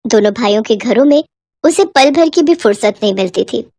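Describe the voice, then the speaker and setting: A woman's voice: a little high, animated, a little fast, a little mellow, dry recording, clean studio sound. A woman reading a scripted moral story as its narrator.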